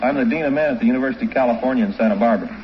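Middle-aged American male contestant, courteous and measured, medium pitch, dull old broadcast with clear noise. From United States. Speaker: quiz show contestant, university dean. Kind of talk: polite interview answers. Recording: muffled, noisy vintage radio recording.

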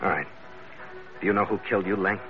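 Man playing tough low-voiced American sea captain, old radio. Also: fast, lightly acted. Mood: probing. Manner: terse, hard-boiled, take-charge. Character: tough, brisk American ship captain narrating his adventure. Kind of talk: old-time radio adventure acting and narration. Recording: dull old radio recording with music.

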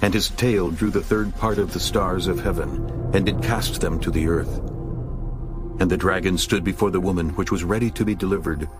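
A man reads scripture as a dramatized audiobook narrator. His voice is on the low side, solemn and measured. Music plays clearly behind him.

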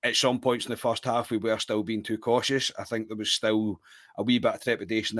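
A Scottish man talks through a football match with his co-host in a loose, casual way. His voice sits on the low side and sounds close and dry, with little room sound. He restarts his sentences and calls his co-host "mate" all the time. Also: a bit bright, no room sound.

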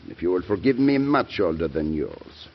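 An actor playing a courteous, cynical, hard-nosed old French statesman with measured formality in a radio drama. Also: sits low, big pitch swings, exaggerated voice. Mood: skeptical, firm. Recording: muffled old radio broadcast recording.

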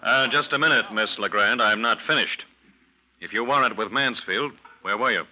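An actor playing a hard, sardonic interrogator who presses with clipped accusations, in 1940s American radio drama style on a muffled recording. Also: male voice, mid-range voice, normal speed, lightly acted. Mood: pressing, stern.

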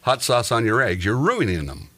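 A man with a bright, forceful voice hosts a casual comedy chat. His pitch swings in a lively way as he rambles and jokes through everyday anecdotes, at an ordinary male pitch. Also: normal speed. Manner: joking, rambling, tells exaggerated everyday anecdotes. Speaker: podcast host.

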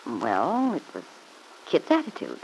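An actress playing a sly, spiteful middle-aged mother-in-law in an old radio serial, insinuating and quick to justify herself. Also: low voice, huge pitch swings, creaky, a little mellow, heavily acted. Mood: insinuating, confiding. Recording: noisy old radio broadcast recording.

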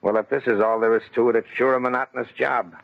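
A man's voice: medium pitch, fairly even intonation, fast, vocal fry, natural voice. An actor playing a restless, impatient rookie fireman, slangy and complaining, in old radio drama style. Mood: restless grumbling. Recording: muffled, slightly noisy vintage radio.

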